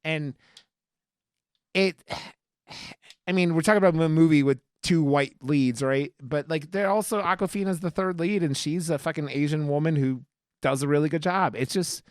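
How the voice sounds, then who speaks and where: A man with a clear, smooth voice in a dry, close-sounding recording. He talks casually and emphatically as a podcast co-host, rambling and swearing freely as he goes. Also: a little high, moderate pace, a little mellow, no echo. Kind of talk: casual film review chat.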